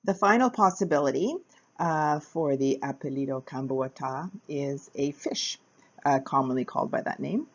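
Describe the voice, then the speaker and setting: A woman narrating a short capoeira lesson video in a casual, halting way, with frequent uh fillers between phrases. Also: a bass voice, highs cut off. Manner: hesitant, many uh fillers, plain. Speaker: capoeira teacher.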